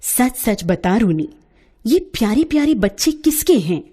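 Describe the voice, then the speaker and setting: A woman voicing an excitable, warm-hearted young woman artist in a storybook tale, with familiar exclamations. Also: fairly high pitch, unhurried, husky, heavily acted. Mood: insistent.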